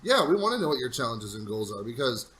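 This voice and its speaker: A man's voice: medium pitch, big pitch swings, quick pace, fairly bright tone. A man co-hosting a casual sales podcast, talking in a loose, rambling, motivational way about sales habits. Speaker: podcast co-host.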